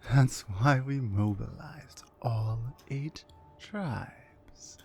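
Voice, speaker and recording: A woman voicing a dominant, mean orc warrior woman in an ASMR roleplay, slow, commanding and condescending, with a low breathy voice that often nears a whisper. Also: a little mellow, exaggerated voice. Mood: bitter, menacing.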